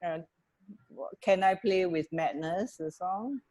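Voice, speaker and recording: A woman's voice: on the low side, slow pace, darkish tone. A middle-aged woman co-hosting a radio show in casual chat over a video call. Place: indoor room, video call.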